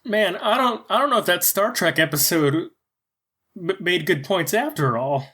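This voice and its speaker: A woman's voice: fairly low pitch, lively intonation, moderate pace, a bit bright, slightly rough, voice pushed up. A woman voicing a shaken woman mech pilot with a Southern accent, blunt and stammering in disbelief. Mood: shocked.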